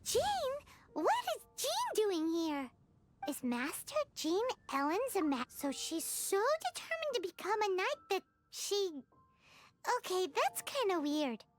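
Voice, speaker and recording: A woman voicing a tiny, childlike, cheeky fairy companion with a squeaky voice in a video game, with music behind her. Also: very animated, a little slow, exaggerated voice. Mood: puzzled. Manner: speaks of herself in third person, exclaiming. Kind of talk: animated video game voice acting.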